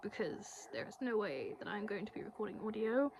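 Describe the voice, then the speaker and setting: A young woman with a bubbly, expressive and slangy manner, chatting to camera as a video creator introducing her vlog. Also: mid-range voice, brisk, slight background noise. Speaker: cosplay video creator and host. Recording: music playing behind the voice. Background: music.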